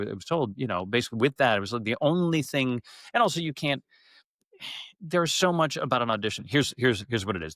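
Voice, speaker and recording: A man's voice: on the low side, a bit bright, dry recording, studio quality. A middle-aged man chatting casually as an interview guest, candid and often interrupting himself mid-thought as he tells stories. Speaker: interview guest, stage and radio theater actor.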